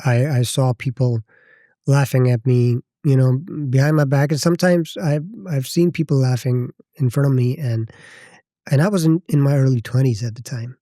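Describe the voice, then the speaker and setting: A Pakistani-accented man in his thirties speaks as a podcast guest, his voice mellow and close in a dead, dry space. He talks in a reflective, halting way, often stopping to rephrase. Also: medium pitch, fairly even intonation, no room sound. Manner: thoughtful, halting, circles back to rephrase. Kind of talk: reflective personal storytelling.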